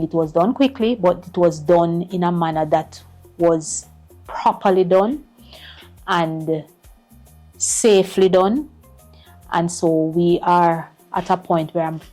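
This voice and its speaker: A woman's voice: on the low side, warm tone. A middle-aged woman, a school principal giving earnest interview answers and repeating words as she finds them. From Jamaica.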